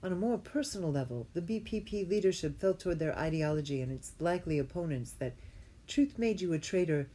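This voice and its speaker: A woman reading an academic text aloud as an amateur, haltingly, often going back to repeat a phrase and correct herself. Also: on the low side, lively intonation, warm tone. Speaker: reader.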